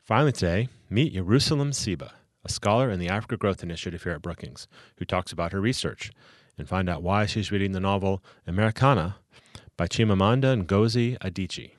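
Male interviewer and podcast host, deep voice, dry recording. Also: a little fast, dry recording.

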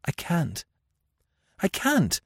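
A man's voice: a little high, big pitch swings, normal speed, darkish tone, dry recording, clean studio sound, pitched above the performer's own voice. A man voicing a proud young captive queen in an audiobook adventure, imperious yet pleading and protesting. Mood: helpless.

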